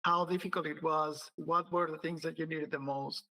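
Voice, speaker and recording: Curious male host with gravelly bright voice. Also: fairly high pitch, fairly even intonation, normal speed, poor audio. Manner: curious, thinks aloud while asking. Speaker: podcast host. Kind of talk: interviewing guests.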